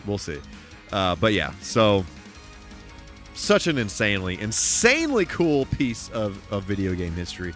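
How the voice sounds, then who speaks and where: A man hosting a casual, rambling game livestream, animated and emphatic, repeating himself for emphasis. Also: fairly low pitch, a little slow. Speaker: live streamer and host. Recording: music playing behind the voice. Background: music.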